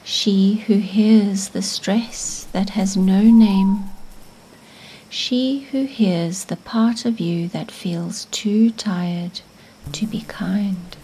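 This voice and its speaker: A woman leads a guided meditation at an extremely slow pace. Her voice is soft and mellow, with breathy, near-whispered endings to her phrases. Faint ambient noise sits behind her gentle, scripted narration. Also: mid-range voice, low-quality recording.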